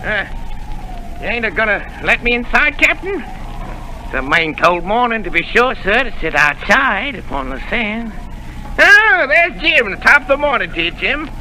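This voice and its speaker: A cunning one-legged captain, sharp bright tone, wheedling and falsely polite, old radio hiss. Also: male voice, extremely high pitch, sing-song, normal speed, exaggerated voice, rough recording. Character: sly one-legged male pirate captain, smooth-talking and menacing. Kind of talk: 1930s radio drama acting.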